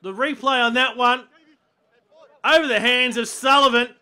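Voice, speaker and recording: Bright, high-voiced Australian football commentator. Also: male voice, normal speed. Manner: excitable bursts at chances and goals. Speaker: match commentator. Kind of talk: football commentary over highlights.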